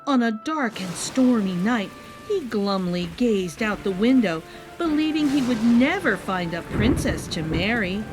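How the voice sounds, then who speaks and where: Female storybook narrator: very animated and playful, unhurried, with music underneath. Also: mid-range voice. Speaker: storybook read-aloud host. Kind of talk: expressive children's story narration.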